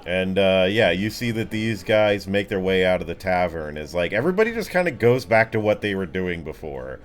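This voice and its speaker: Low-voiced man running a tabletop game. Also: big pitch swings, normal speed. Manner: laid-back, colloquial. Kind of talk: casual improvised game narration.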